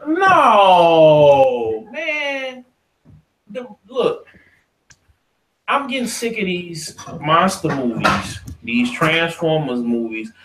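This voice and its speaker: A man's voice: high-pitched, a bit bright, slight background noise. An American man hosting a podcast, talking casually and opinionatedly in a slangy, profane, ranting manner. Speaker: podcast host. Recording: echoey untreated room.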